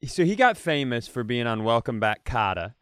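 A man co-hosting a comedy podcast, rambling deadpan through offbeat questions in casual chat. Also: mid-range voice, moderate pace, clear, resonant tone, no echo, clean studio sound. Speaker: podcast co-host.

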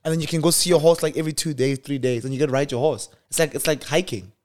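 A man's voice: a little high, fast talker. Easygoing young man on a podcast. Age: 20s. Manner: easygoing, hesitant, repeats words. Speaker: podcast co-host. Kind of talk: casual chat.

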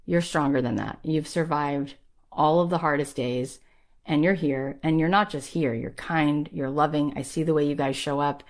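A woman's voice: sits low, steady tone, normal speed. A woman podcast host and coach giving a motivational talk with personal anecdotes, emphatic and encouraging, repeating phrases for effect.

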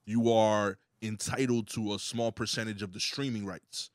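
A man's voice: lowish voice, fairly even intonation, moderate pace, no room sound. Guest, young man, easygoing slangy talker, dry studio mic. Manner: laid-back, slangy. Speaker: podcast guest. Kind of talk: casual podcast chat. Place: podcast studio.

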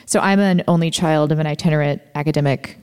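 A woman's voice: low voice, steady tone, quick pace, darkish tone, smooth, long reverb tail. A woman podcast host in casual conversation, thinking aloud with lots of fillers. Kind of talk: casual interview chat.

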